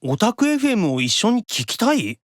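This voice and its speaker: A man voicing a reclusive, nerdy male demon in an anime-style audio drama, excitable and wary. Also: high voice, normal speed, dry recording, clean studio sound, voice pushed up. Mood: puzzled, wary.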